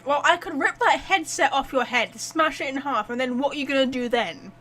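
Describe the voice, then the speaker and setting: A woman's voice: high-pitched, fairly quick, fairly bright tone, a little hiss or hum. A woman giving casual let's-play commentary as a gaming streamer, reacting bluntly and with some swearing.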